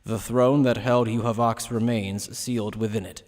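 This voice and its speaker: A man narrating a fantasy anime fan dub as a solemn, even-toned storyteller. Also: on the low side, normal speed, lightly acted. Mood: solemn, expository. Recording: long reverb on the voice.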